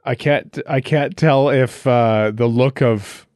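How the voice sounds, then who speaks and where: A man's voice: medium pitch, takes it slowly, darkish tone, clear, resonant tone, dry recording, studio quality. A man hosting a podcast, chatting casually and wryly as he comments on a TV show. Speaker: podcast host. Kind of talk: casual chat, TV episode commentary.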